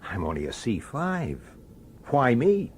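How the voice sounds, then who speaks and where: A man's voice: mid-range voice, huge pitch swings, slow, breathy edge, exaggerated voice. An actor playing a sardonic, grumbling middle-aged male detective who tells his case in a radio drama. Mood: wary, sceptical. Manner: grumbling, sarcastic, hesitant with superiors. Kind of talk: radio drama acting with first-person narration.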